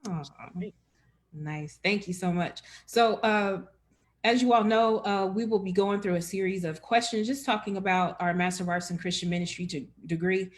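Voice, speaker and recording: A woman's voice: mid-range voice, steady tone, moderate pace. A woman hosting a live-streamed information session as enrollment director, friendly and chatty with many fillers.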